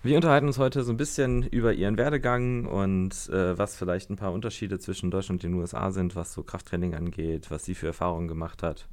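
A man's voice: deep voice, a little mellow. A young man hosting a casual podcast interview, talking hesitantly with many fillers and restarts. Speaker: podcast host.

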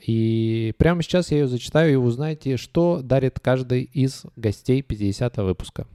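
A man's voice: medium pitch, fairly quick, dark tone, smooth, no room sound, clean studio sound. A man hosting a comedy podcast, announcing contest prizes in a playful, mock-grand way and reading out notes with asides. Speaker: podcast host.

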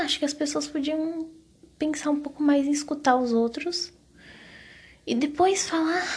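A young Brazilian woman with a fairly high voice that has a husky, breathy edge. She talks casually as a solo podcast host, rambling in a self-conscious way and tripping over her words. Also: mellow. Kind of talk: casual confessional solo chat.

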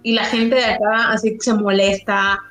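A woman's voice: medium pitch, little pitch movement, moderate pace, a bit bright, roomy sound, soft background music. A woman from Yucatán being interviewed, talking casually and rambling with many restarts and fillers. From Mexico, Yucatán.

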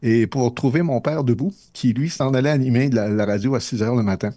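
A man with a Québécois accent, a radio host, telling stories in a relaxed, rambling way with many pauses during a casual on-air chat in a studio. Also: medium pitch, no echo. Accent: Québécois French. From Matane, Quebec.

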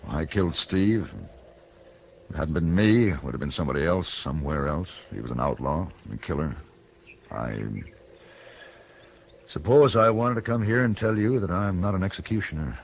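An American man playing a grave, courteous gunfighter for hire in a radio western, speaking in a measured, brooding way. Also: deep voice, expressive, gravelly, slight background noise. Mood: somber, gentle. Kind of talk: 1950s radio western acting. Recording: muffled 1960 radio broadcast.

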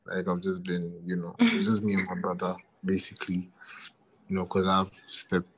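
A man in his twenties with a Ghanaian accent, a musician chatting in an interview, relaxed and often repeating himself. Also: deep voice, moderate pace, background noise. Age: 20s. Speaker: musician guest. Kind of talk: casual interview chat.

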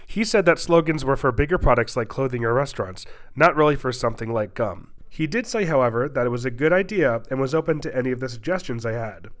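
A man's voice: medium pitch, expressive, fast talker, few pauses. A young man hosting a podcast, congested with a stuffy nose, chatting casually with many fillers and restarts, then reading a story aloud. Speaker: podcast host.

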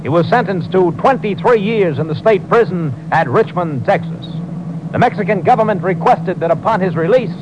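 A man delivers formal scripted narration in a 1950s radio style. His voice is high and officially declarative, on a clearly noisy old broadcast recording. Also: animated, normal speed. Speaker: announcer reading case results.

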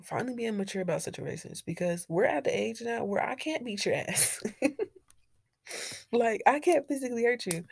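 A woman's voice: fairly low pitch, creaky, a little mellow, slightly rough, no echo, studio quality. A young woman hosting a solo podcast, talking in a candid, blunt way with plenty of swearing and laughter. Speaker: podcast host. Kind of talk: casual solo podcast monologue.

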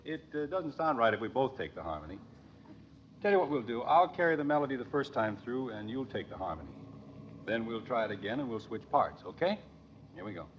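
A man's voice: medium pitch, big pitch swings, a little fast, natural voice. An actor playing a cheerful, folksy middle-aged American father in an old radio sitcom, chatting in a genial, hesitant way. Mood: eager, coaxing. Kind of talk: 1940s-50s radio sitcom acting. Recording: muffled old radio broadcast, noisy.

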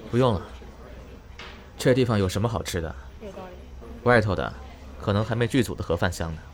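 A man playing a tired, easygoing young film actor in an audio drama, speaking softly and casually. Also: fairly low pitch, brisk, close to an everyday voice. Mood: calm, tired. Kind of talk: Chinese audio drama acting. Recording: music behind the voice.

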